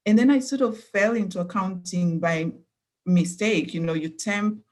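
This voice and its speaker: A woman in her fifties from Tanzania, talking thoughtfully as an interview guest with many pauses and restarts. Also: medium pitch, darkish tone. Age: 50s. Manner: thoughtful, hesitant with fillers and restarts. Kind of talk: conversational interview.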